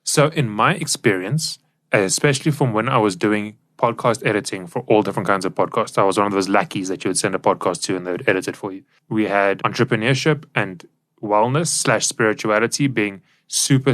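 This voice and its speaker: A man's voice: on the low side, brisk, fairly bright tone. A South African man co-hosting a podcast, explaining things in a casual, informal discussion style on a studio microphone. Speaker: podcast co-host. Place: professional recording studio.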